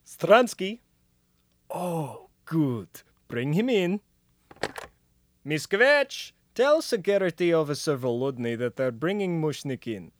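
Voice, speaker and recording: An actor playing a pompous, sarcastic Soviet official in a comic radio play, officious and emphatic. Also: male voice, on the high side, sing-song, slow, dry recording.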